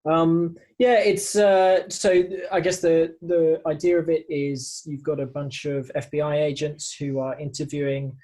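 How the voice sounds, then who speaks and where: A man's voice: on the high side, little pitch movement, normal speed, few pauses, a little mellow. A man giving recommendations in a casual podcast chat, thoughtful and a little hesitant. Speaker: podcast panellist.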